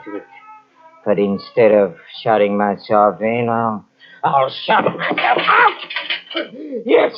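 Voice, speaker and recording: An actor playing a haunted Frenchman descending into madness in an old radio horror drama, halting and melodramatic, with repeated broken phrases. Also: mid-range voice, slight background noise. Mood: hushed, scheming. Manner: halting, breathless repetitions, melodramatic. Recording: muffled old radio drama cassette transfer.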